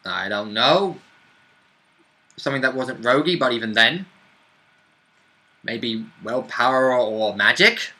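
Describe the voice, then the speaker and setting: A man's voice: mid-range voice, expressive, measured pace, long pauses, bright, forceful tone. A man playing a tabletop roleplaying game, chatting casually and informally with mild swearing.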